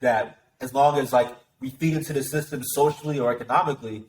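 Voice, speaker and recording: A man, a soldier who is a guest, talking fast and emphatically in casual conversation and swearing freely. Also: mid-range voice, fairly even intonation, darkish tone, reverberant, poor audio. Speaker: guest, active-duty soldier.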